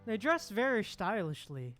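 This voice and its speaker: A woman's voice: mid-range voice, sing-song, moderate pace, a bit bright, soft background music. A woman streamer giving casual, chatty live commentary on a video game.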